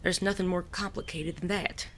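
An actor playing a suave, teasing male gentleman bandit in a Western audio drama, genteel and wry. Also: medium pitch, fairly even intonation, moderate pace, a little hiss or hum, close to an everyday voice. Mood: dry, teasing. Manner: genteel, wry, polite wording.

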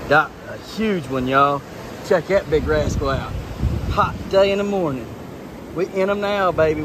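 A man, excitedly narrating an outdoor adventure vlog on a phone outdoors by the water. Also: on the high side. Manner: excited, folksy and chatty. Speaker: vlogger. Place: outdoors on a windy beach by the water. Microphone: handheld phone. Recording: loud wind noise on a phone mic.

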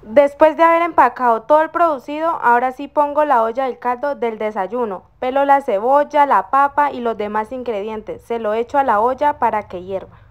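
A young woman from Colombia tells the story of her day in a relaxed, chatty voice-over. She speaks, her voice sits high, and soft music plays faintly underneath. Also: normal speed, few pauses, dull audio. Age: young adult. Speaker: vlogger.

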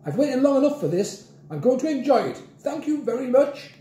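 A gallant old British officer for a storybook, brisk and commanding. The voice rides high and swings widely in pitch, with clipped military phrasing and some room echo. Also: male voice, big pitch swings, warm tone, full character voice. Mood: impatient, polite.

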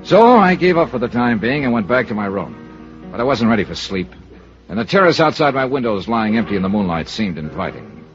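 An actor playing a tough, wisecracking insurance investigator, blunt and sardonic, in old-time radio drama style. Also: male voice, lowish voice, big pitch swings, brisk. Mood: reflective narration. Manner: blunt, sardonic, hard-boiled. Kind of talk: 1950s radio drama acting and narration. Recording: dull old radio recording, music.

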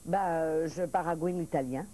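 A woman in her sixties born in Paris, a lively talk-show guest whose French mixes Parisian and Quebec speech, telling stories with restarts. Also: sits low, normal speed, a little hiss or hum. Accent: mixed Parisian and Quebec French. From France. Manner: lively storyteller, restarts and laughs, points her words. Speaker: actress guest. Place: TV studio set, seated at table.

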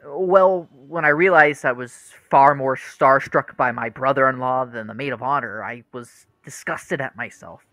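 A man's voice: mid-range voice, a little slow, hardly any pauses, sharp tone, no room sound. An actor playing an earnest, troubled young man in a radio play, hesitant and confessional. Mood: confessional, embarrassed.

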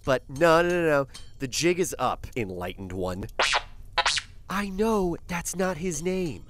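A man's voice: fairly high pitch, very animated, slow pace, faint music behind. A man playing a brash, sarcastic, sceptical starship skipper in a sci-fi audio drama, wry and incredulous. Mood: incredulous, annoyed.

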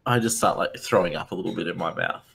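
A man's voice: low-pitched, fast talker, vocal fry, a bit bright, a little hiss or hum. A man talking casually as a player in a tabletop game.

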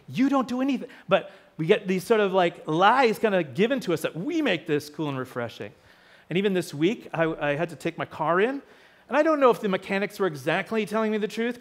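Hoarse pastor guy preaching at a lectern, slightly high voice, acts out the quotes in his stories. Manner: storytelling, animated quoted voices. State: sore throat, losing his voice. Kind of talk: sermon. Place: small church hall with seated congregation. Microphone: lectern mic, far camera.

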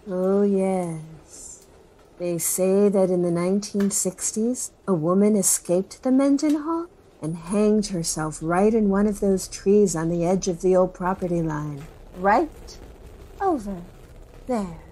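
A woman's voice: mid-range voice, slow, warm tone, low-quality recording. A woman playing a chatty small-town niece reminiscing about her uncle in a folksy, rambling documentary interview. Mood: eerie storytelling. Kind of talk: acted documentary interview, conversational.

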